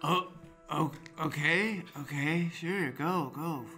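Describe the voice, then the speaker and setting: A man playing a morose, untalented male wizard who talks haltingly in an improvised comedy radio play. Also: a little high, pushed and bright, heavily acted. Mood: flustered. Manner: hesitant, halting, glum. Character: Burblin, morose less-talented brother of wizard Merlin. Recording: music behind the voice.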